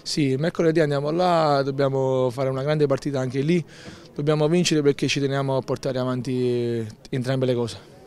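A young man, a football defender, calmly answering post-match questions outdoors, repeating his phrases. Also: medium pitch, moderate pace, clean tone. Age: young adult. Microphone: handheld interviewer mic.

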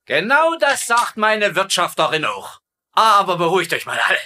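An actor playing a vain, gullible, middle-aged German camp commandant with a pompous manner in a sitcom dub. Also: male voice, sits high, very animated, normal speed, bright, forceful tone, exaggerated voice. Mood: self-important. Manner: pompous, repeating tag questions. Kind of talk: dubbed sitcom acting.

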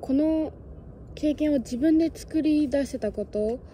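A woman's voice: sits high, slow pace, mellow, a little hiss or hum. A young woman from Japan in her twenties chatting casually to her phone camera on an outdoor hilltop, musing aloud as she goes. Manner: chatty, musing, talks to birds and cats in a sing-song voice. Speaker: travel vlogger. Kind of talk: casual vlog chat to camera. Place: outdoors on a windy hilltop fortress. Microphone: phone camera close by.

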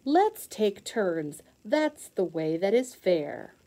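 A woman teacher reading a children's storybook aloud in an expressive sing-song way with clear emphasis. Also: mid-range voice, slow pace.

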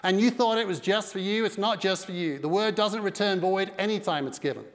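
A man's voice: high voice, brisk. A middle-aged man preaching a sermon with emphatic delivery on a church stage. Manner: emphatic preaching with broad hand gestures. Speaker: pastor. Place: large dark church stage. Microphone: headset mic. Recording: long reverb of a big hall.

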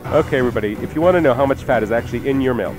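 Guy radio chef, talks fast, casual no-nonsense cooking tips, music under him. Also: mid-range voice. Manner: emphatic, matter-of-fact instruction. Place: radio studio.

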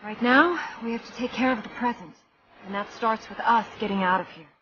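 An actress playing a young, determined princess who talks in a curt, earnest and sometimes scolding way. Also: female voice, on the high side, brisk, highs cut off, background noise, lightly acted.

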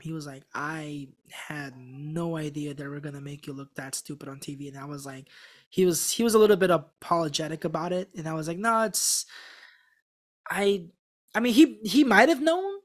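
A young man of Korean background, a podcast guest, telling a story in casual talk full of restarts, close to a studio mic in a small room. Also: a little high. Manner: animated storytelling with many restarts. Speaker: podcast guest, former contestant. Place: small room with a gray backdrop. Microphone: studio mic on a stand in front.

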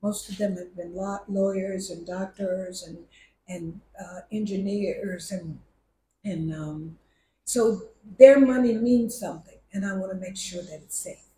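A woman's voice: mid-range voice, fairly even intonation, unhurried, warm tone, echoey room, low-quality recording. A woman in her seventies from Ghana, giving a chatty, joking speech at a signing ceremony, holding a handheld mic while seated at a table in a room lined with bookshelves. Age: 70s. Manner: chatty, joking, hesitant with fillers. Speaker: ambassador and forum founder.

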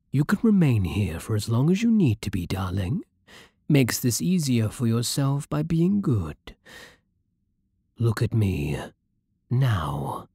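A British man playing a courtly, possessive vampire king in an intimate ASMR roleplay, silky, formal and commanding with quiet menace. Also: fairly low pitch, no room sound, studio quality, heavily acted. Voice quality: breathy, husky.

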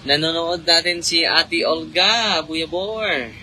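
Chatty priest with a bright voice. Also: male voice, on the high side, takes it slowly, slight background noise, poor audio. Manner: chatty, laughs often, switches between Tagalog and Cebuano. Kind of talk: casual live-stream chat. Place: inside a car in traffic at night. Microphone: phone held at arm's length.

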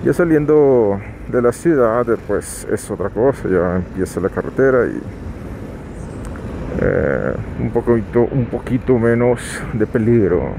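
A man calmly narrating a motorcycle vlog while riding, giving unhurried advice with some restarts. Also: lowish voice. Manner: calm, advising, unhurried with restarts. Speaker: motovlogger. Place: riding a motorcycle on a highway outdoors. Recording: road and wind noise.

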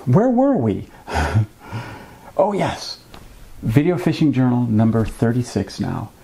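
A man in his fifties hosting a fishing vlog, explaining things to camera in a conversational, measured way with wry asides. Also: medium pitch, very animated, vocal fry, dark tone. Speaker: fishing channel host. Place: small indoor room at a desk with a computer.

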